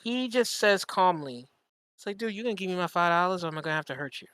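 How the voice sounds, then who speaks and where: Man, bright forceful voice, dry close sound, casual podcast storytelling, emphatic, sweary. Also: high voice, lively intonation, fast talker, clear, resonant tone, dry recording. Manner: emphatic, profane, repeats phrases for effect. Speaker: podcast host. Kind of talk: casual chat, animated storytelling.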